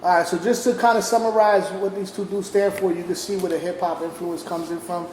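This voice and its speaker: High-pitched male host, loose slangy banter, reverberant room with faint hiss. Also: steady tone, fairly quick, a little mellow. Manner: brief interjections, street slang. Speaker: podcast host. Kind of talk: casual chat. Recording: echoey room, faint noise.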